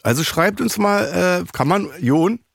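A man's voice: high-pitched, expressive, measured pace, creaky, fairly bright tone. A man hosting a casual chat who jokes crudely with his guest and has a cold. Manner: joking, crude banter. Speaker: podcast host.

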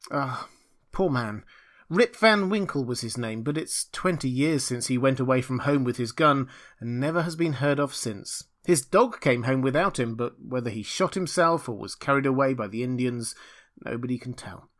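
A man's voice: medium pitch, lively intonation, normal speed, fairly bright tone, natural voice. A man voicing a young village mother in a storybook, plain-spoken and matter-of-fact. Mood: matter-of-fact, regretful.

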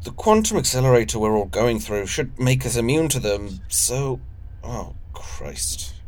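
A man acting in an audio drama as an ailing, stubborn crew leader, coughing and speaking in a halting, formal way. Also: medium pitch, expressive, a little mellow, natural voice. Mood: weary explaining. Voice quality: coughing, weak. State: ill, coughing. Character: sick, stubborn older male time-travel crew leader.

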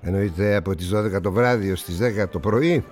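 An actor playing a worldly, condescending older newspaper publisher in a radio drama, speaking with musing pauses. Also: male voice, fairly low pitch, a little mellow, soft background music, close to an everyday voice. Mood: patronizing. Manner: theatrical, patronizing, with musing pauses.

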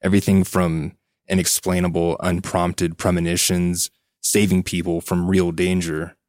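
Male co-host, deep register, articulate casual explainer, no room sound. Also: little pitch movement, moderate pace, a little mellow, dry recording, clean studio sound. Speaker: podcast co-host. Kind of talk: casual discussion.

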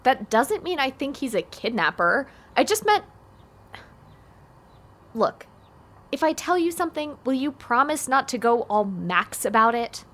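A woman's voice: high voice, very animated, measured pace, pauses often, fairly bright tone, heavily acted. An actress playing a blunt, wary teenage girl in an audio drama, with a casual, skeptical way of talking. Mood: defensive, cautious.

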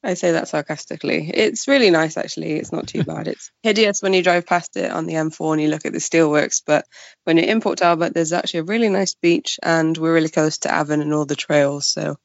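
A young woman with a gravelly, creaky edge to her voice chats casually as a podcast guest. The sound is slightly dull and quite dry, with little room around it. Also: low voice, fairly even intonation, fairly quick, no echo. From Wales. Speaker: podcast guest, freelance journalist. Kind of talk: casual interview chat.

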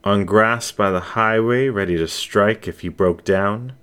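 Male audiobook-style narrator needed: deep, unhurried voice for scripted story narration. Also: fairly bright tone, clean tone.

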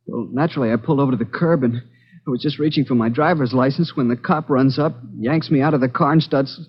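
Guy doing a beaten-up driver, husky and shaky, old lo-fi radio drama. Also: medium pitch, lightly acted. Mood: shaken. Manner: shaken, hurried recounting. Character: beaten male motorist, robbery victim. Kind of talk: 1940s radio acting.

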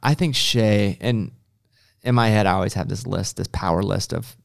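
A man's voice: on the low side, normal speed, vocal fry. A man hosting a podcast, chatting casually and acting out little imagined quotes as he talks. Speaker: podcast host. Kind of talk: casual interview chat.

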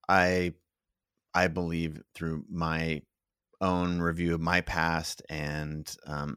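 A man with a very deep, low voice hosts a conversational interview. The sound is dry, without room echo, and he speaks in measured phrases, pausing partway through his thoughts. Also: a bit bright. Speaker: interview host. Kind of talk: conversational live interview, reading audience comments and asking questions.

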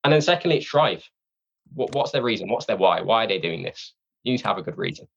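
Guy with a low creaky voice, chatting casually as a guest, kinda muffled audio. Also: expressive, fast. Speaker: podcast guest, sales training company representative. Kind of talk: casual interview conversation.

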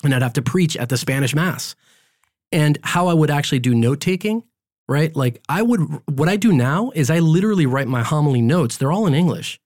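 Chatty priest host with a dry sound. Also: male voice, fairly high pitch, brisk, no room sound. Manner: talkative, animated storyteller. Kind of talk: casual interview chat.

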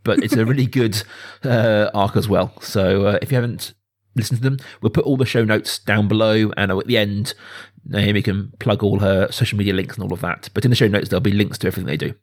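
A man speaking as a podcast host, chatting informally and with gentle jokiness about films. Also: fairly low pitch, fairly quick. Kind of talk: casual film podcast chat.

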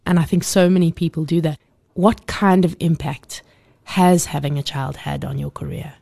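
A woman's voice: sits low, steady tone, normal speed, gravelly, dark tone, breathy edge, no echo. A woman hosting a podcast who reads a scripted intro and asks encouraging interview questions. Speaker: podcast host.

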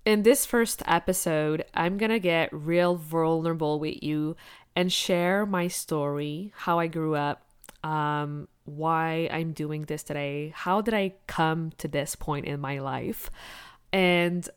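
French-speaking young woman, smooth low-ish voice, motivational podcast host talking about her life. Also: steady tone, takes it slowly, dry recording, clean studio sound. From Quebec, Canada. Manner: upbeat, motivational, candid. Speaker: podcast host, business coach. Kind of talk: casual solo podcast monologue.

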